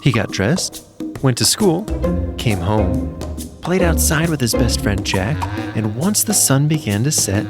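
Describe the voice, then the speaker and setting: A man's voice: mid-range voice, lively intonation, measured pace, loud music behind the voice. A man narrating a scripted children's story in a dramatic, suspenseful manner.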